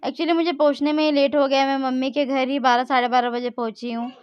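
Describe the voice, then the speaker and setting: A woman's voice: high-pitched, little pitch movement, a little fast, dry recording, highs cut off. Woman, young adult, vlogger, chatty and rambling, dry close sound. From India. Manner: chatty, rambling, frequent self-corrections. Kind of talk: casual vlog narration.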